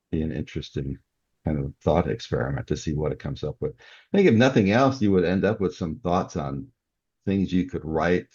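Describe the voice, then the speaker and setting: An older man with an extremely low voice that has a husky edge. He speaks as an interview guest, explaining things in a conversational way. The audio is somewhat dull and lo-fi. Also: a little mellow. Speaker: podcast guest, novelist. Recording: dull, band-limited audio.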